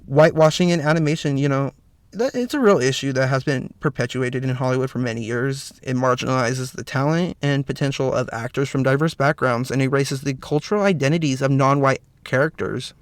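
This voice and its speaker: A slightly hoarse man with a raspy voice hosts a solo podcast, talking earnestly with lots of "you know" fillers. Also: mid-range voice, fairly quick, no room sound. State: slightly hoarse, clearing throat. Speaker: podcast host. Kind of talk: solo podcast commentary, partly read from notes.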